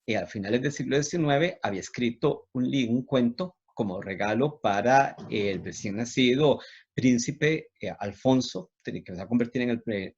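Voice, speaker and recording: Husky Costa Rican man giving a lecture. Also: medium pitch, a little mellow, muffled recording. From Costa Rica. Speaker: university lecturer presenting on children's literature. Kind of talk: literary lecture.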